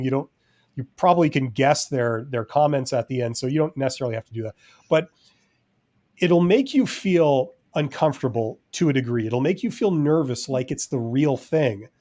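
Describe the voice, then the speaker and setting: A man co-hosting a casual live-stream chat, talking in a relaxed, rambling way with many fillers. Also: on the high side. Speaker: podcast co-host.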